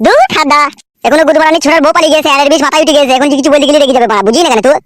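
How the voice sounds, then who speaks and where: গ্রামবাংলার টানে একজন পুরুষ কার্টুনে এক মুখখারাপ, ফন্দিবাজ, খোঁচা-মারা চ্যালার গলা দিচ্ছে।. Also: male voice, very high voice, fairly even intonation, very fast, a bit bright, no echo, studio quality. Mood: scheming. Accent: rural West Bengali. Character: cheeky, scheming henchman, crude and foul-mouthed. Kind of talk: amateur comedy cartoon dub.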